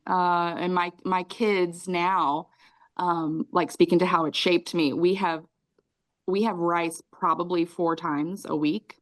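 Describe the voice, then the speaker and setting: A woman's voice: lowish voice, steady tone, slow. A woman in her thirties, a podcast guest, telling her life story in casual chat, with many ums and restarts. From Raleigh, North Carolina; raised in Cambodia.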